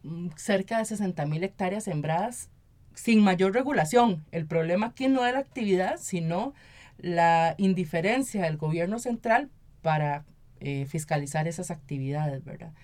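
A woman's voice: on the low side, lively intonation, normal speed, a little mellow. Costa Rican woman explaining in an interview. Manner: explanatory, often tags statements with "¿verdad?". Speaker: interview guest, local council member and activist. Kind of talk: conversational radio interview.

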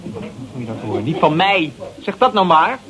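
Radio play insecure, challenging male suitor: agitated, very animated intonation, crowd noise around. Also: fairly high pitch, normal speed, poor audio. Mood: agitated, pleading. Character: hot-headed, pushy, insecure male suitor. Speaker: radio drama actor. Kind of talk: Dutch radio play acting.

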